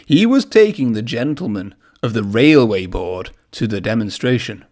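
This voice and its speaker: A man's voice: fairly low pitch, lively intonation, moderate pace, a little mellow. A man reading a children's story aloud in a narrating style. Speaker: storybook reader.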